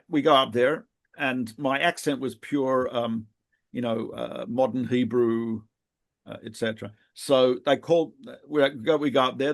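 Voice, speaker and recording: A middle-aged man from Australia swaps stories with a friend on a podcast, with a voice of ordinary pitch. He tells his anecdotes with animation and laughs at them. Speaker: podcast co-host, rabbi. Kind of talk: casual reminiscing conversation.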